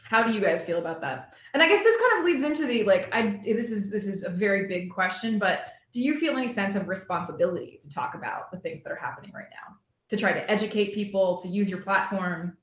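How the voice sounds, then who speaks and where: A woman's voice: mid-range voice, animated, fast. A woman moderating a casual panel discussion over a video call, thinking aloud in a hesitant way. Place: remote video call in an echoey room. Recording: roomy, echoey video call audio.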